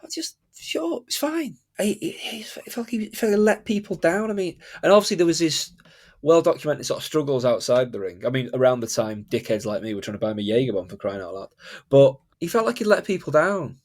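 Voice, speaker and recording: A man's voice: on the high side, brisk. A man with a Manchester accent hosting a football podcast, chatting casually and laughing through his stories. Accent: Mancunian. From Manchester, England. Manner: animated, laughs while telling stories. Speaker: podcast host. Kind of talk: casual chat.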